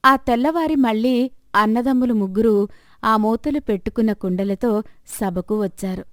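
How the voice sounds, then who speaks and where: A woman's voice: a little high, normal speed, dry recording, clean studio sound. A woman storyteller reading a scripted moral tale as narration.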